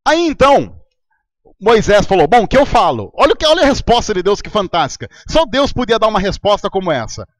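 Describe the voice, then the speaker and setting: Brazilian male pastor, high animated voice, dry close sound, chatty sermon delivery. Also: fairly quick, no echo. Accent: Brazilian Portuguese. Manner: conversational preaching with anecdotes.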